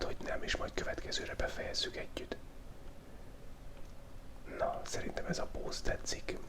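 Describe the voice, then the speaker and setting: A man from Hungary with a cold, whispering playful ASMR commentary as he sketches, through a small mic taped right to his face. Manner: playful, joking asides while sketching. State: has a cold. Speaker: YouTube drawing channel host. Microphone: small mic taped to his face, very close.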